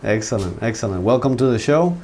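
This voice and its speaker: A man hosting a local arts interview show, talking in a relaxed way with frequent fillers. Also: mid-range voice, a little fast. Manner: friendly, relaxed with filler words. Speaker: host. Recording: faint background noise.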